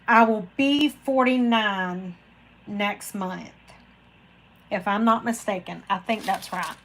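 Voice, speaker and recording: A woman's voice: medium pitch, slow pace, fairly bright tone, a little hiss or hum, rough recording. A woman, hosting a casual live chat and rambling to viewers by name. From Florida, USA. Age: 40s. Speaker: craft channel host reading live chat.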